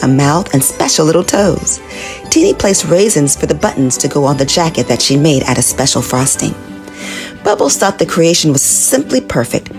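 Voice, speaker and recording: A woman narrating a children's storybook in an animated, expressive read-aloud manner. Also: fairly low pitch.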